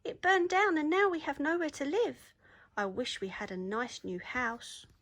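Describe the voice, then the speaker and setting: A woman voicing a small, worried mother mouse in a storybook with a squeaky voice. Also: very animated, a little slow, fairly bright tone, exaggerated voice. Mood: plaintive.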